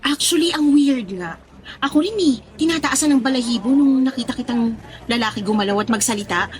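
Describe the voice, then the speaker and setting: A woman with a Filipino accent playing a young, teasing, curious best friend in an audio drama, speaking expressive casual slang. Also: high-pitched, a little fast, close to an everyday voice.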